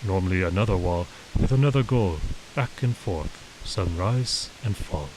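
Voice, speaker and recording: Story role: man as big patient wandering warrior, low voice, measured broken English, calm, with hiss behind. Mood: patient, explaining.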